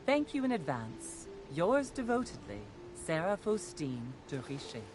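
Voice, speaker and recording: Refined aristocratic lady, lilting formal read under clear music. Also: lowish voice, sing-song. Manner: formal, slow, polite letter reading. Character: refined aristocratic woman writing letter. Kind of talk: video game voice acting. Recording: game audio under music.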